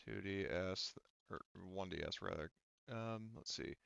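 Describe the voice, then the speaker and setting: A man's voice: low-pitched, a little slow, creaky, fairly bright tone, smooth, dry recording. A man acting as game master in casual tabletop play, informally thinking aloud as he works through rules on a slightly dull, dry microphone.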